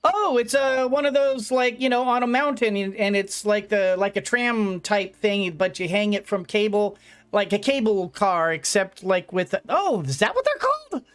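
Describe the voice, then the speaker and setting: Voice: mid-range voice, animated, moderate pace, a bit bright, clean tone. A let's play streamer giving casual game commentary, rambling and thinking aloud.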